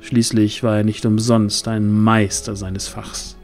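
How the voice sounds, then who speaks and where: A man's voice: lowish voice, little pitch movement, takes it slowly, a little mellow, faint music behind. A man narrating a scripted fantasy audio drama in a dramatic storytelling style.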